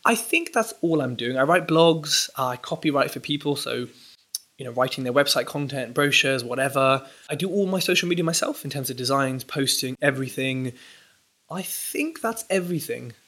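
A young man chatting casually as a podcast guest, talking in an animated, rambling way with restarts. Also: medium pitch, normal speed. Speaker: podcast guest, property entrepreneur. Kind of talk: casual interview chat.

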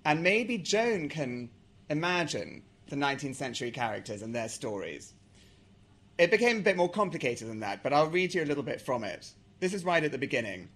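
A man's voice: fairly high pitch, sing-song, brisk, a bit bright. A man with an English accent, from South Africa, talking about his novel and reading from it aloud. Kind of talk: author talk and reading aloud.

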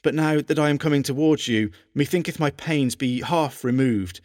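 An actor playing an earnest, lovesick English king, reading love letters aloud in a measured, formal, archaic manner. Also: mid-range voice, darkish tone, no echo, studio quality, close to an everyday voice. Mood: tender, longing. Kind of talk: dramatised reading of historical letters.

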